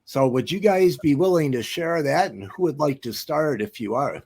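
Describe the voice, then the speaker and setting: A man co-hosting an online interview, hesitant, with many pauses and fillers before he hands the floor to the guests. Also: on the high side, expressive, a little mellow. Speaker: co-host.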